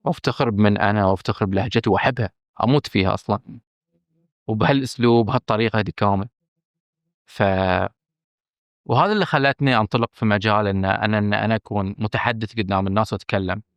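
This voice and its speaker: A man with a Bahraini accent, a film director speaking candidly as a podcast guest, emphatic and earnest, often pausing on fillers and restarting. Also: on the low side, no room sound. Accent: Bahraini Arabic. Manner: earnest and emphatic, stressing his frankness, with hesitant fillers and restarts.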